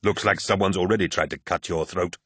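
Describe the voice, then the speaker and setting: A man's voice: low voice, very animated, fairly quick, fairly bright tone, breathy edge, no room sound, studio quality, exaggerated voice. A man voicing a hot-tempered, suspicious warrior captain in an audiobook, curt, defiant and menacing. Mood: angry threat. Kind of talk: dramatic audiobook character voice.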